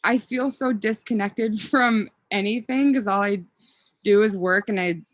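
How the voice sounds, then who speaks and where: A woman's voice: mid-range voice, unhurried, dry recording. A woman in her thirties from Minnesota, chatting casually as a podcast guest, rambling with ums and self-corrections. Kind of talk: casual interview chat.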